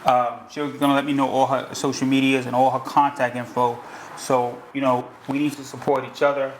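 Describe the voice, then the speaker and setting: A man's voice: mid-range voice, flat delivery, brisk, reverberant, a little hiss or hum, low-quality recording. A man from New York with a New York accent, hosting a casual interview in a loose, chatty way with many fillers and restarts. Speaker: host.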